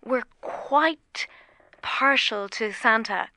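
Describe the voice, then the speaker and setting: Woman doing a giddy flirty caller, high bright voice, dry sound. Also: full character voice. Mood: coy. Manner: coy, halting, with many ums. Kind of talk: improvised comedy call-in.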